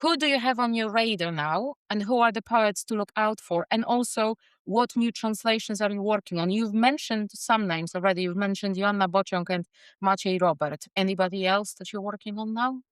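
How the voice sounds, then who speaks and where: Woman interviewer, mid pitch, smooth and dry, eager to ask her favorite questions. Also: moderate pace, no room sound. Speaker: podcast host.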